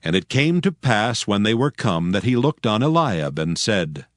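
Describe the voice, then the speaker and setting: A man's voice: on the low side, big pitch swings, normal speed, no echo, clean studio sound. A man narrating scripture as a solemn, scripted dramatic story. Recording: prominent music bed behind voice.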